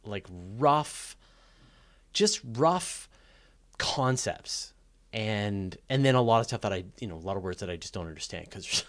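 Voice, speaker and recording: A man hosting a live question-and-answer stream in casual, unscripted speech, haltingly restarting his phrases as he rambles along. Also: medium pitch. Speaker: host of a live astronomy Q&A stream. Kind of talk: casual, unscripted livestream chat.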